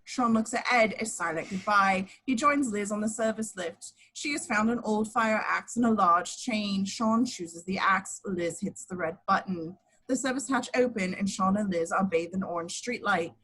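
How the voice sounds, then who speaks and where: Husky woman reading scripted narration. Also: medium pitch, normal speed, a bit bright, poor audio. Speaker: action description narrator.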